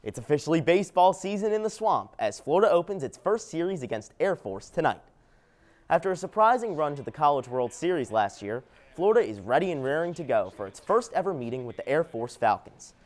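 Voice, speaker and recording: A man's voice: on the high side, sing-song, fairly quick. A young man reading a scripted sports news update as a TV anchor.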